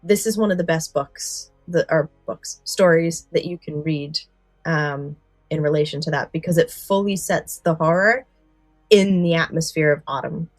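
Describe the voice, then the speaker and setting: Low-voiced woman, enthusiastic podcast host. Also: normal speed, no echo, soft background music. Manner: enthusiastic, explanatory. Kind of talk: podcast discussion, part prepared book summary.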